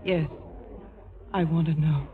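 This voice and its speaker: An actress playing a brave, gentle injured woman, earnest and restrained, in a 1950s radio drama. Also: sits low, fairly even intonation, slow, a faint crowd. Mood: anxious, brave. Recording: thin phone-like vintage radio broadcast.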